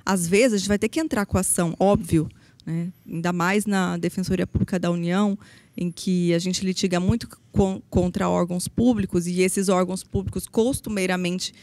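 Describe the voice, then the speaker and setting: A woman's voice: on the low side, fairly even intonation, moderate pace, a little mellow, no echo. A woman from Brazil's Paraná region, a public defender, explaining her work earnestly in a podcast interview, with frequent hesitations. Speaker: federal public defender, guest.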